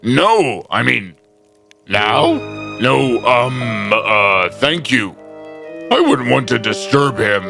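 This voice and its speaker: A man's voice: medium pitch, lively intonation, extremely slow, creaky, over loud music, heavily acted. A man playing a big rival giant in a storybook drama, intimidated, hesitant and nervously polite. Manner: hesitant, flustered, stammering.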